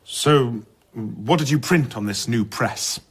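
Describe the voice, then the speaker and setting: An actor playing a sceptical man in a radio drama, dryly probing and wryly disapproving, with a husky voice. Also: mid-range voice, slow. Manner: dry, questioning.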